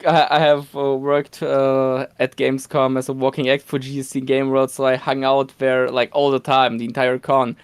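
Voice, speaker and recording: A man whose first language is Polish, telling excited stories as a guest in a casual podcast chat. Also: mid-range voice, normal speed, hardly any pauses, clear, resonant tone, dry recording. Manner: excited storytelling with laughs and restarts.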